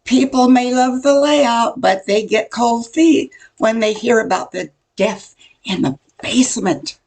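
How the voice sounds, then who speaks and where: A woman's voice: on the high side, measured pace, a little mellow. An older woman playing a nosy, gossipy welcome-wagon neighbor in a radio comedy, chatty and full of insinuation. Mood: sly gossip. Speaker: amateur radio play performer. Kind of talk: old-time radio comedy acting.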